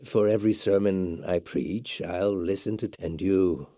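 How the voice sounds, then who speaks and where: A solemn male monk from a game, speaking in a very low, dark and husky voice. He talks slowly and gravely, dry and close to the mic. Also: no room sound. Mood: earnest. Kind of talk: game voice acting.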